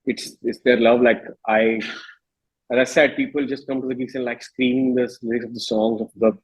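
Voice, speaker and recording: Husky Indian man talking casually. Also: mid-range voice, flat delivery, normal speed, low-quality recording. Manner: informal, reflective, peppered with "you know" and "like". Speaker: singer-songwriter being interviewed. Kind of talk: casual conversational interview.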